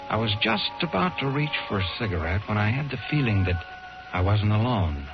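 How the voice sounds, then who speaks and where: An American actor playing a stubborn, hard-boiled talent agent in an old-time radio drama, dramatic and tough-talking. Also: male voice, deep voice. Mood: tense, brooding. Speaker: radio drama lead and narrator. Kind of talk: old-time radio drama acting and first-person narration. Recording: muffled vintage radio with music.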